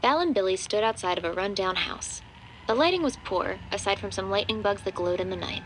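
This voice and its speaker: A woman's voice: medium pitch, expressive, brisk, a bit bright, slight background noise, natural voice, rough recording. A woman reading scripted narration for a horror audio drama. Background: background music.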